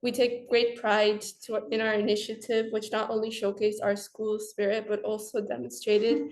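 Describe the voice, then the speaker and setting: A woman's voice: medium pitch, flat delivery, moderate pace, a little mellow. A teenage girl from Slovakia and Portugal reading out a prepared project presentation as a student presenter, careful and starting many phrases with "uh". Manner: careful, with frequent "uh" before phrases. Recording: roomy echo.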